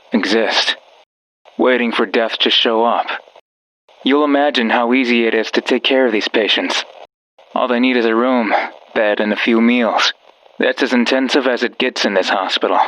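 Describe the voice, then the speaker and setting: Casting: male actor as a menacing false friend for a horror visual novel, medium-pitched, coaxing and darkly wry. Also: fairly bright tone, natural voice, poor audio. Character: sinister, coaxing male voice of a friend over a radio.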